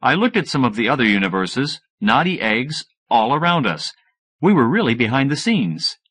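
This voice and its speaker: A man reading first-person fiction as an audiobook narrator, in an expressive scripted style. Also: medium pitch, normal speed, breathy edge.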